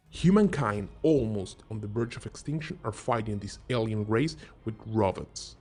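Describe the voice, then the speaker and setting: A man's voice: mid-range voice, darkish tone, breathy edge. A man narrating a scripted video game countdown as host, in an informal explainer manner, posing questions and answering them himself. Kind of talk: scripted video narration, top-five list commentary. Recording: music bed under the voice. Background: background music.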